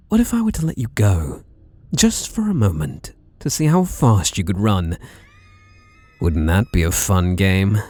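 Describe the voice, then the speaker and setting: A British man playing a possessive, flirtatious masked slasher in an intimate ASMR roleplay, teasing and softly menacing. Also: fairly low pitch, no room sound, exaggerated voice. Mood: gloating, playful threat. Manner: teasing, softly menacing, drawn-out delivery with sudden swoops.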